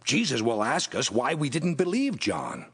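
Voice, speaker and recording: Husky, swooping, suspicious male priest. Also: medium pitch, wildly swooping pitch, moderate pace, fairly bright tone, exaggerated voice. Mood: wary scheming. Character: suspicious, challenging male chief priest and religious leader.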